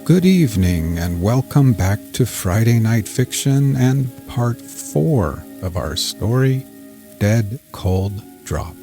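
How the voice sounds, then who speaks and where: A man's voice: lowish voice, big pitch swings, takes it slowly, creaky, dark tone, soft background music. A man hosting and narrating a scripted fiction story. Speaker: host and narrator.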